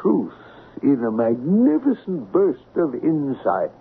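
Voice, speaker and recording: Pompous, lilting art philosopher. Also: male voice, medium pitch, huge pitch swings, takes it slowly, creaky, full character voice. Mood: grandly earnest. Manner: speaks in balanced phrases with rising inflection. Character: mannered elderly male art appraiser, philosophical and pompous. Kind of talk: 1970s radio drama acting. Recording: muffled, hissy 1970s radio broadcast.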